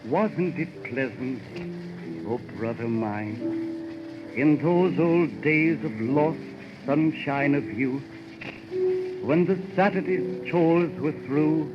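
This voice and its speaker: A man's voice: a little high, loud music behind the voice. A man playing a proud, folksy old-time Hoosier poetry lover on 1930s radio. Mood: wistful. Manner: homespun, rustic, slow recitation. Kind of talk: 1930s radio character acting. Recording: muffled 1930s radio aircheck.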